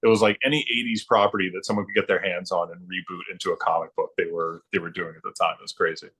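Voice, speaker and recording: A middle-aged man reminiscing casually, thinking aloud in a halting, informal way. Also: low-pitched, flat delivery, fairly bright tone, husky, low-quality recording. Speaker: guest, former comics production worker turned librarian.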